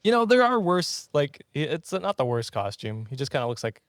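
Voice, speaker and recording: A man's voice: a little high, sing-song, fairly quick, no room sound, clean studio sound. A man hosting a casual comedy podcast, telling trivia and bantering in a jokey, chuckly way. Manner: jokey, often chuckling as he talks. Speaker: podcast host.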